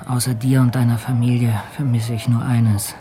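Man playing a resolute gentleman sea captain, mid-pitched. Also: little pitch movement, normal speed, slight background noise, close to an everyday voice. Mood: earnest, hopeful. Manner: resolute, articulate, formal. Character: determined, adventurous English gentleman sea captain. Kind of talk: radio drama acting and letter narration.

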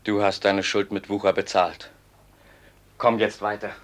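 An actor playing a gruff, loyal robber henchman in a classical radio play. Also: on the low side, flat delivery, a little slow, lightly acted. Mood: firm.

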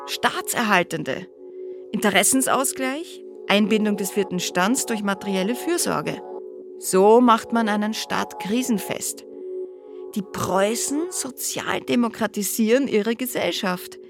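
An actress playing a keen expert in a radio drama, lecturing in emphatic, clipped phrases. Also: female voice, mid-range voice, lively intonation, unhurried. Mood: keen explaining. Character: keen woman expert explaining political history. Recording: clear music behind the voice.

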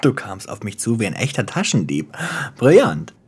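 Husky, sardonic gangster boss. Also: male voice, on the low side, wildly swooping pitch, moderate pace, darkish tone, heavily acted. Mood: cool menace. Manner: taunting, theatrical, dropping into whispers. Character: menacing, sardonic gangster boss, cruel and playful. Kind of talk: German radio drama acting.

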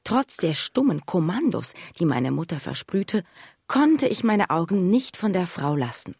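A wry woman narrator in a radio play, low and husky. She tells her memories in measured, observant phrases with very lively melody, in a dry studio sound. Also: dry recording, heavily acted. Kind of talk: scripted first-person radio drama narration.

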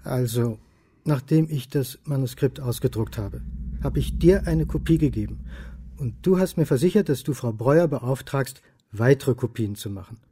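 A man's voice: medium pitch, expressive, normal speed, mellow, breathy edge. An actor playing a brooding, stubborn male historian in a radio crime drama, pressing for answers. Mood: insistent. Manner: insistent, interrogating. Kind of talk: radio crime drama acting and inner monologue.

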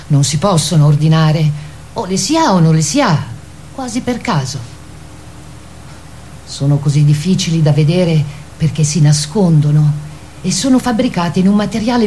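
A woman performing as a measured, matter-of-fact storyteller in literary radio theatre. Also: fairly low pitch, dark tone, a little hiss or hum, close to an everyday voice. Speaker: narrator.

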